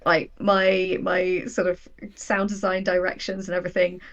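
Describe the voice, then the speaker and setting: A woman's voice: on the low side, monotone, normal speed, dull audio. A woman speaking as a guest writer in a reflective interview conversation, candid and explanatory about her own doubts. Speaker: guest, audio drama writer.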